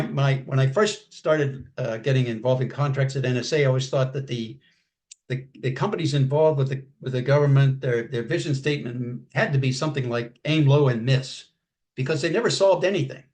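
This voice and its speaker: An older man as an interview guest, talking casually in a halting way, repeating words and clearing his throat. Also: medium pitch, darkish tone.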